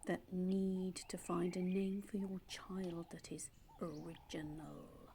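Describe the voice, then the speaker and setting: A woman's voice: lowish voice, flat delivery, creaky, dark tone, slight background noise. A woman with an English accent playing a solitary, wistful woman in an audio play monologue, confiding and reflective. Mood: wry, disapproving. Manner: musing, reflective, with tag questions and pauses. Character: solitary, wistful adopted woman searching for her birth mother.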